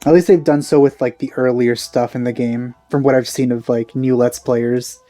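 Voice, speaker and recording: A man's voice: medium pitch, fairly even intonation, moderate pace, mellow, no echo, soft background music. A man giving casual gameplay commentary as a let's play host.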